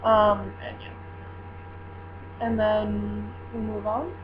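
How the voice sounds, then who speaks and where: A woman's voice: medium pitch, very slow. A teenage girl chatting casually to her viewers, rambling with many fillers and correcting herself as she goes. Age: teens. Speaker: vlogger running a book club. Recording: tinny lo-fi with steady mains hum.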